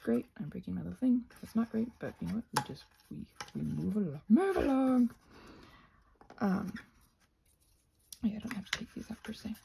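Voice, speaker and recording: A woman's voice: mid-range voice, lively intonation, moderate pace, gravelly, long pauses, warm tone, slight background noise. A North American woman chatting casually while crafting, playful and scatterbrained, thinking aloud and often trailing off into murmurs. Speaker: craft and ASMR vlogger.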